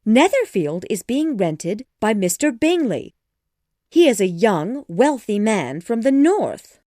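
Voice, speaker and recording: Storybook role, played by a woman: nagging middle-aged mother, flustered and dramatic, voice swooping high, recorded dry. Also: sing-song, unhurried, darkish tone, dry recording. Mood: excited. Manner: exclamatory, impatient, dramatic. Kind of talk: storybook dialogue acting.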